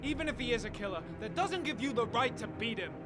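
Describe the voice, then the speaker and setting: An actor playing an earnest, by-the-book investigator in a video game, insistent and indignant. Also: male voice, extremely high pitch, expressive, quick pace, music in the background. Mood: firm protest. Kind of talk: dramatic video game acting.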